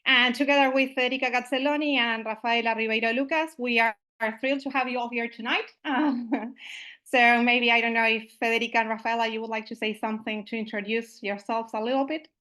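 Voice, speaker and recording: A woman's voice: on the high side, fairly even intonation, fairly quick, bright, forceful tone. A woman from Uruguay, opening an online meetup as its host, friendly and full of "uh" and "I mean" fillers, over a video call microphone.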